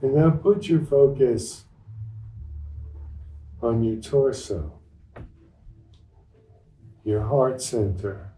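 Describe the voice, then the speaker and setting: Male meditation teacher, very mellow dark tone, gentle short phrases, long pauses, roomy echo from a distant mic. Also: mid-range voice, lively intonation. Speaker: meditation teacher leading a satsang. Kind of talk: guided meditation, unscripted and instructional.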